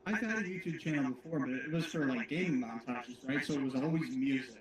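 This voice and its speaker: A man's voice: medium pitch, fast talker, roomy sound, a crowd in the background. A man chatting and joking on a livestream. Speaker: livestream co-host. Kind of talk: casual chat.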